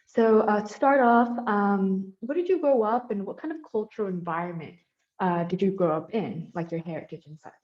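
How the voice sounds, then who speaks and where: A woman's voice: medium pitch, normal speed, a little mellow. A young woman interviewing a professor, asking friendly questions full of ums and likes. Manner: friendly, filler-heavy, with many ums and likes. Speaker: student interviewer. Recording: slightly dull lo-fi audio.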